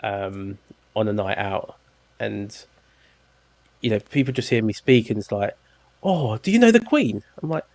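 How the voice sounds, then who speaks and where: A man's voice: on the low side, animated, unhurried, pauses often, a little mellow, no room sound. A man with a London accent in his thirties, hosting a podcast in a rambling, jokey way with lots of ums. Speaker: podcast host. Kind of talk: casual chat.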